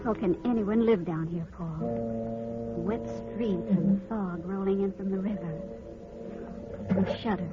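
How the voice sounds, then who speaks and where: An actress playing an anxious, determined wife in an old-time radio drama, earnest and pleading. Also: female voice, mid-range voice, steady tone, measured pace, long pauses, loud music behind the voice, close to an everyday voice. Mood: uneasy, frightened. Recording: muffled, hissy old radio recording.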